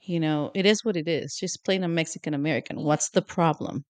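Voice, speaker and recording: A woman's voice: deep voice, a little fast, darkish tone, no room sound. A woman co-hosting a podcast in casual, opinionated chat, often asking "you know?". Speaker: podcast co-host.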